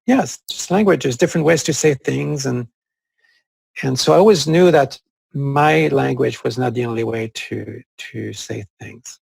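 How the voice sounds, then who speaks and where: A man with French as his first language, talking through ideas in a relaxed discussion. His voice is strongly gravelly and somewhat husky, and he often pauses with fillers as he thinks. The sound is dry and close. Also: mid-range voice, no room sound, rough recording. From France (Corsica). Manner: thoughtful, hesitant with many fillers. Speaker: co-host. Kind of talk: conversational discussion.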